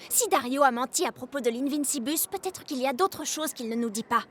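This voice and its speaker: A woman's voice: high-pitched, expressive, brisk, a little hiss or hum. A woman voicing a feisty young heroine in a children's cartoon dub. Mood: firm. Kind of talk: French animated children's series dub. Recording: music behind.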